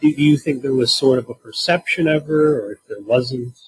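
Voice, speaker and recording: A man's voice: mid-range voice, a little fast, slight background noise, poor audio. A man hosting a podcast interview, asking long, meandering questions with hesitations. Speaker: podcast host.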